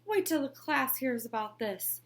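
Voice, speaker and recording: Storybook schoolboy, high and bright, breathless and eager, put on by a woman reader. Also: animated, unhurried, slight background noise. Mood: excited. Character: excitable schoolboy, eager and worried.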